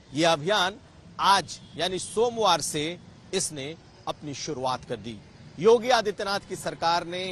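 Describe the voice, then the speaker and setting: Slow-paced male field reporter. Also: high-pitched, a bit bright, slight background noise.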